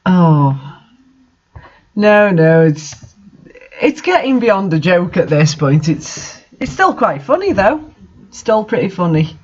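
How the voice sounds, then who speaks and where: Lo-fi recording, mid-pitched voice, exasperated amateur host, casual mocking commentary, incredulous, sweary. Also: sing-song, slow pace, pauses often. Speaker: host reading fan fiction aloud with commentary. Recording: dull lo-fi microphone sound.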